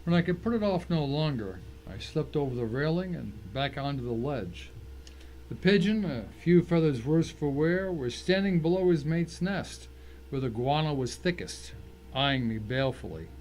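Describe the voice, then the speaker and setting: A man's voice: on the high side, expressive, normal speed, darkish tone, low-quality recording. Man narrating a thriller, faint background noise. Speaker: story narrator. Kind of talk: scripted first-person thriller narration.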